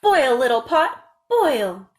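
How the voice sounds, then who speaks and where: A woman's voice: slow pace, full character voice. A woman voicing a poor, earnest little village girl in a storybook, speaking expressively. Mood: commanding. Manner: high-pitched, earnest, expressive.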